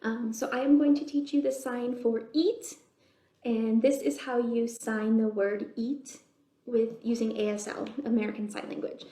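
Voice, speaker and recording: A woman's voice: fairly high pitch, little pitch movement, normal speed, mellow. A woman hosting a children's storytime, explaining things simply and putting questions to young listeners. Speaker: children's librarian, storytime host. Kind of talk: children's storytime read-aloud and sign teaching. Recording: roomy, echoey indoor sound.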